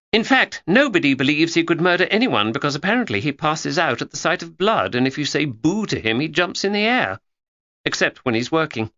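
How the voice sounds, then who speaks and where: A man's voice: mid-range voice, bright, forceful tone, no echo, dull audio, voice pushed up. A man voicing a chattering, know-it-all schoolgirl who lectures and teases. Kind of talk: audiobook character voice.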